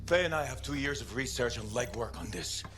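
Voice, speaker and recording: An actor playing a gruff, stubborn veteran freelancer leader in a video game cinematic, speaking curtly. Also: male voice, medium pitch, animated, a little fast, over music, close to an everyday voice. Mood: hostile.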